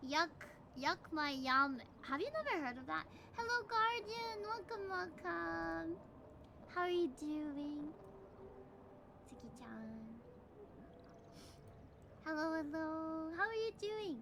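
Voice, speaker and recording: High-pitched woman chatting on a noisy stream. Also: animated, slow pace, pauses often. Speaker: live game streamer. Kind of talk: casual chat with stream viewers. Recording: clearly noisy stream audio.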